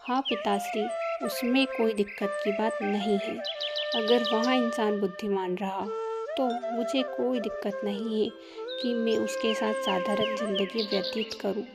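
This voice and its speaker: A woman's voice: mid-range voice, steady tone, measured pace, close to an everyday voice. A woman voicing a clever, principled young princess in a cartoon moral story, formal and firm as she reasons. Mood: earnest insistent. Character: clever, principled young princess advising her royal father. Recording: loud music behind the voice.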